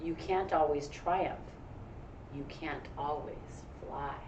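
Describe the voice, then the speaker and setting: A woman's voice: low-pitched, fairly even intonation, slow, lo-fi sound. A woman voicing a kind, upbeat male dance partner from a storybook, consoling and encouraging in rhyme. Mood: reassuring, upbeat. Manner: encouraging, rhyming delivery. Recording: echoey room, distant mic, faint noise.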